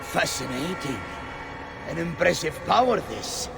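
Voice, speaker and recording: A power-hungry old Pope as a game villain. His high-pitched voice is heavy with gravel and swings widely. He is pompous and menacing over clear music. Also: very animated, a little slow, full character voice. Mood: gloating, menacing. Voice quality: gravelly, creaky. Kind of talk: theatrical video game villain acting. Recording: loud music behind.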